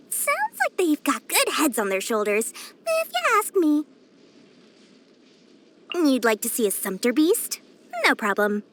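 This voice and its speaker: A pint-sized, money-hungry female merchant from a video game. Her voice sits very high and swings up and down with theatrical flair. She boasts and wheedles like a born schemer, smugly cheerful in every sales pitch. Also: fairly bright tone, a little hiss or hum, heavily acted. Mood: gleeful, boastful, then wheedling, smug. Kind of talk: video game character voice lines.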